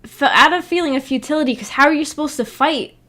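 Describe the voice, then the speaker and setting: A woman's voice: sits high, quick pace, bright, forceful tone. A woman hosting a livestream in a casual, rambling way, hesitant as she thinks aloud. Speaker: livestream host.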